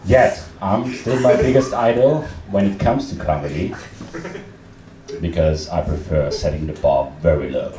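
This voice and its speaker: A young man from Austria with an Austrian accent, German his first language, performing a deadpan, self-mocking stand-up set with many fillers and restarts. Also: low-pitched, a little slow, background noise. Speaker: stand-up comedian. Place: comedy club stage. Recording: roomy club sound from a distant mic, loud crowd.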